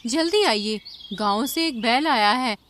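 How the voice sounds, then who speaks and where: A woman's voice: fairly high pitch, animated, measured pace, a bit bright, slight background noise. A woman voicing a small, curious, sensible female bird in an animal cartoon, questioning and concerned. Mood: alarmed.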